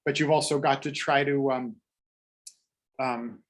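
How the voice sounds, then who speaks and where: A man's voice: medium pitch, fairly even intonation, a little slow. A middle-aged man speaking as a translator on a book panel over a video call, thoughtful and digressive, with many fillers and restarts. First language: English.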